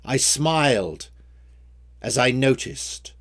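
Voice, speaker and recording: A man reciting rhyming story poems as their author, with a measured, theatrical storytelling delivery. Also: mid-range voice, lively intonation, fairly bright tone. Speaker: poet reading own poems.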